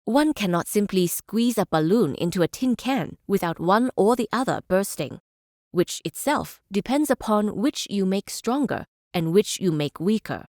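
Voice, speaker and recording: A dry, close recording of a woman acting as a thoughtful female chancellor in a strategy game. Her mid-pitched voice is formal and deliberate, like a scholar lecturing. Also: animated, darkish tone, no echo, close to an everyday voice. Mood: measured, thoughtful. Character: measured, idealistic female scholar-chancellor and stateswoman. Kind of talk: scripted game character speeches and lectures.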